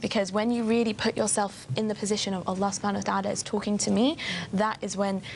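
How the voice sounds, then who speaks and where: Woman on a panel, gravelly and flat, talks quick with lots of filler. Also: medium pitch, a little hiss or hum. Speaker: panel guest. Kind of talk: casual discussion.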